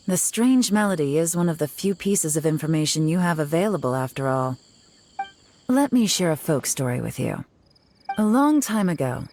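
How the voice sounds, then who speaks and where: A woman voicing a cool, confident female investigator who speaks in a calm, measured, teasing way. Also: sits low, a little hiss or hum. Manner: calm, measured, quietly menacing.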